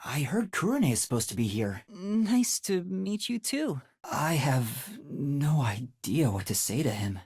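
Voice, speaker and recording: A man playing a timid, earnest young amnesiac trainee detective in an English video game, with a light, breathy voice and a hesitant manner. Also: fairly high pitch, sing-song, a little slow, hardly any pauses, no room sound, studio quality, exaggerated voice. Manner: hesitant, soft-spoken, stammering when flustered.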